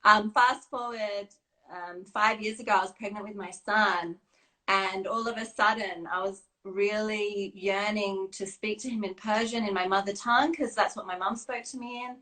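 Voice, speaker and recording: Woman guest, chatty and modest, filler-heavy, middling pitch, live video call. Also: fairly even intonation, normal speed, sharp tone, rough recording. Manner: chatty, self-deprecating, many fillers. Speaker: guest, children's book creator. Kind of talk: casual live-stream interview. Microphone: phone or video call.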